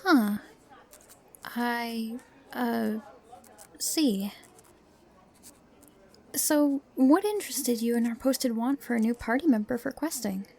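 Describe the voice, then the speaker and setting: Woman acting a mellow, diplomatic adventurer. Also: on the high side, animated, creaky, exaggerated voice. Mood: curious, measured. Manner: measured, diplomatic. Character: calm, reasonable female adventurer organising the party. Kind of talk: fantasy audio drama acting.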